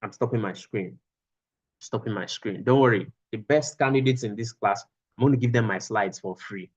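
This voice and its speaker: A man's voice: on the low side, normal speed, husky, muffled recording. A man teaching a class over a video call, repeating his phrases for emphasis. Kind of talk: online class lecture.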